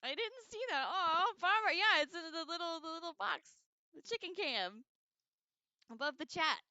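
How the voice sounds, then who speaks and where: A woman hosting a casual livestream read-along, expressive and informal, reading aloud and adding chatty, slangy asides. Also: very high voice, normal speed, no echo, highs cut off. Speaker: livestream host reading a book aloud with commentary.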